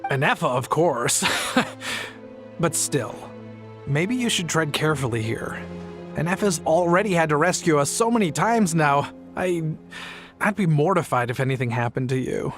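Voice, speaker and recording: Man as a gentle, higher-voiced anxious father. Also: expressive, moderate pace, soft background music, natural voice. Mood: earnest. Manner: earnest, soothing, slightly hesitant. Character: gentle, apologetic merchant father, anxious and reassuring. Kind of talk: video game voice acting.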